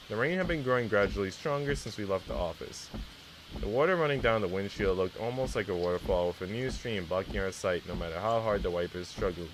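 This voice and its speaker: Man, low voice, casual streamer, reads story text aloud, slangy and teasing, some background noise. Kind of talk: reading a visual novel aloud, casual chat with viewers.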